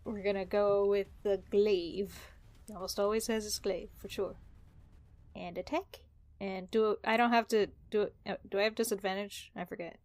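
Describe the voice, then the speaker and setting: A woman's voice: medium pitch, fairly even intonation, moderate pace, darkish tone. A woman taking part as a player in a tabletop roleplaying game, chatting casually about her moves and rolls.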